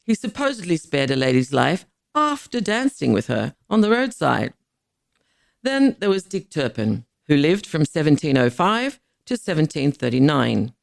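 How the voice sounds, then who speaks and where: A woman reading a scripted history narration in measured, deliberate phrases. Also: sits low, sing-song, creaky, no echo, clean studio sound. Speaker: podcast narrator.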